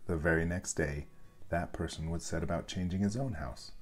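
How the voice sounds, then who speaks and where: A man's voice: sits low, moderate pace. A man reading a children's storybook aloud as scripted narration. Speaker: storybook reader.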